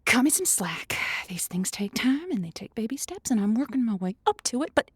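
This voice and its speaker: A woman's voice: fairly high pitch, very animated, a little fast, husky, no room sound, clean studio sound. An actress playing a sultry, playful woman who hosts a lonely-hearts radio call-in show with theatrical, encouraging patter. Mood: hesitant, bashful. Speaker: late-night radio call-in show host. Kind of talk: radio drama, on-air monologue and chat.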